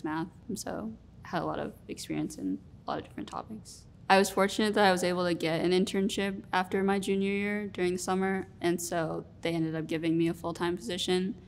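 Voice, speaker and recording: Young woman, twenties, low voice, relaxed reflective interview talk, faint rumble. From Rhode Island, US. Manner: relaxed, reflective, many "like" fillers. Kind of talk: sit-down interview. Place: studio room with plants.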